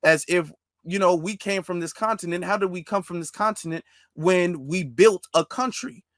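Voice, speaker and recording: A man's voice: high voice, normal speed, fairly bright tone, no echo, clean studio sound. A man hosting a casual discussion, talking emphatically with frequent restarts.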